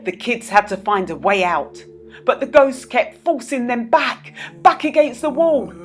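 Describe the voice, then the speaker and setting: A woman narrating a children's story as a storyteller, with dramatic, expressive delivery. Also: fairly high pitch, a little slow. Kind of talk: children's read-aloud narration.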